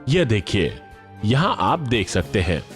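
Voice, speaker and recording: A man delivering scripted commercial and narration voice-over in a polished, deliberate, dramatic way. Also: lowish voice, very animated. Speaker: voice-over artist. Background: music bed.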